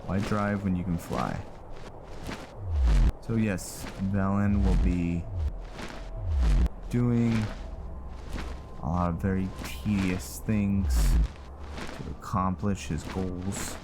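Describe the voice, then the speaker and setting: A man with a low voice narrates his gameplay casually over loud music. He takes his time, musing and pausing as he works things out aloud. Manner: musing, halting, thinking aloud. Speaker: gamer narrating his own playthrough. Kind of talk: casual game commentary. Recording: loud game music behind the voice. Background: loud game music.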